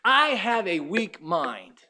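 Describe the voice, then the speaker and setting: A man in his fifties preaching a sermon, emphatic, swinging from loud urging to hushed asides and acting out his listeners' objections. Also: high-pitched, big pitch swings, slow, a little hiss or hum. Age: 50s. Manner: acts out the doubting listener's objections. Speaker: pastor.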